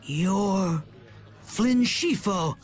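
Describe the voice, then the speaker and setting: A scheming, self-important older villain from a video game. His voice is pitched high and swings wildly as he declaims with indignation. Faint music plays underneath. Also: lively intonation, extremely slow, heavily acted. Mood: shocked, indignant. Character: scheming pompous older male villain, a councilman.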